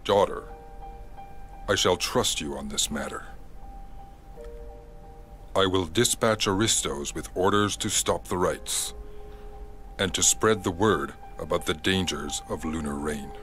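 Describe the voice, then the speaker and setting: A man's voice: deep voice, a little slow, pauses often, fairly bright tone, soft background music. A man voicing a grave, middle-aged fatherly king in a fantasy game, formal and regal. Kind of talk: video game voice acting.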